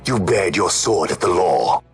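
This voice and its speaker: A menacing imperial judge from a fantasy game. His low voice declaims sternly and accusingly, with faint music behind. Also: animated, unhurried, husky, heavily acted. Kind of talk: scripted video game cutscene acting.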